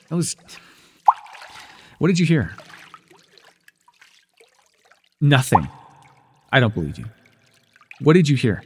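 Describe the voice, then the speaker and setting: A man's voice: on the high side, background noise. A man playing a commanding, teasing villain who mentors a young hero in an intimate ASMR roleplay. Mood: agitated, sharp. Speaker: voice actor. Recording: long reverb with trickling water. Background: pool water trickling.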